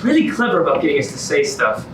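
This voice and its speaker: An actor playing a suspicious, sarcastic, competitive reality show contestant in a comedy staged reading. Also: male voice, a little high, wildly swooping pitch, quick pace, a bit bright. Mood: wary. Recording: very echoey, noisy, distant stage recording.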